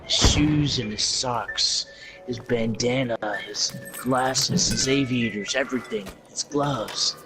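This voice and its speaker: A man improvising a comic story among friends in a mock-serious, dramatic, drawn-out way. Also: mid-range voice. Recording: loud music behind. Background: loud music.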